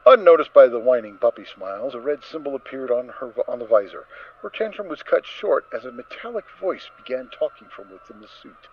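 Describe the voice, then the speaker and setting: Lively, dramatic male story narrator. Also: mid-range voice, a little fast. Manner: expressive, theatrical emphasis. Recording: dull recording with faint noise.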